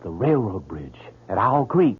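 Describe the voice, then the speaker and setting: An actor playing a hearty, dashing young soldier with a rough, raspy voice in an old radio drama. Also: male voice, on the low side, very animated, unhurried, a little hiss or hum. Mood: urgent. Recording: tinny old radio broadcast.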